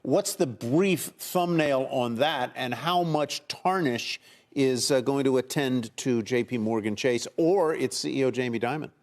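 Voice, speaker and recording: A man working as a TV news anchor, putting questions to a reporter in halting, wordy phrasing. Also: mid-range voice. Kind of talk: live business news interviewing.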